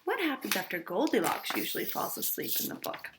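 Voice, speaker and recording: A woman reading a picture book aloud for children, pausing to ask listeners questions about the story. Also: mid-range voice, wildly swooping pitch, very noisy. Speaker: storytime reader. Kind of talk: read-aloud storytime narration.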